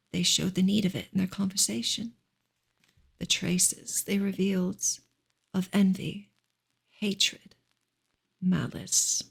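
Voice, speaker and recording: A woman reading a novel aloud in a soft, hushed, breathy ASMR style, often slipping into a whisper. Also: medium pitch. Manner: hushed, gentle delivery.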